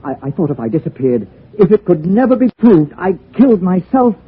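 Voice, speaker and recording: Desperate, husky-voiced man, old radio. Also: high voice, very animated, exaggerated voice. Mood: desperate, pleading. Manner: halting, pleading. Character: desperate, evasive middle-aged man with a secret, pleading. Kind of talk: 1940s-50s American radio drama acting. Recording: tinny, band-limited vintage radio recording.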